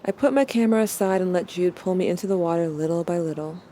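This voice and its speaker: Woman as mellow, low-voiced brooding young narrator. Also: fast, a little hiss or hum, close to an everyday voice. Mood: wistful. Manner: casual, wry, introspective. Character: brooding young narrator, wry, wounded, questioning gender, addressing lost sibling. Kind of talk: audio drama narration, intimate confessional monologue.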